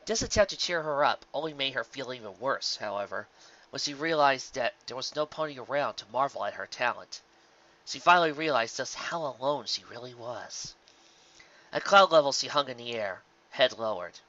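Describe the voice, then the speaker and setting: Very bright, forceful male story narrator. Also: mid-range voice, animated, moderate pace, dull audio. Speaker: narrator reading fan fiction. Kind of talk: scripted dramatic story narration.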